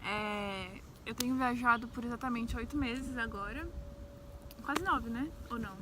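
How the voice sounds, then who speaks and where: A woman's voice: a little high, a little slow. A young adult woman with a central-west Brazilian accent, telling personal stories in a casual, chatty vlog style, often checking in with little agreement tags. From Brazil, grew up in Brasília. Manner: informal, peppers speech with tags seeking agreement. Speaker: travel vlogger. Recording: faint background noise.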